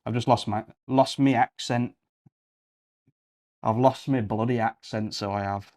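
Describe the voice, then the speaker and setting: A man's voice: medium pitch, fairly even intonation, measured pace, a little mellow. A young man from Yorkshire, chatting casually and thinking aloud while hosting a coding livestream. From Yorkshire, England. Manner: rambling, thinking aloud while clicking around.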